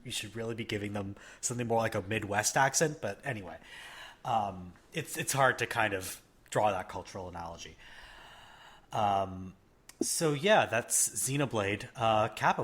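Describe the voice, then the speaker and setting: A man with a mild Canadian accent talks casually on a podcast, in a bright, edgy tone. He thinks aloud and often lets his sentences trail off quietly. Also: medium pitch. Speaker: podcast panelist. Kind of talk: casual chat.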